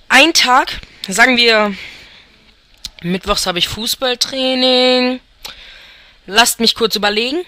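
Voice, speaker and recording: A young man from Germany talks through a football game in an unhurried, drawn-out way, rambling and thinking aloud with frequent chuckles. His voice is bright and clear, recorded dry and close with no room sound. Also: very high voice, no room sound. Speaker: let's player. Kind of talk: casual gameplay commentary.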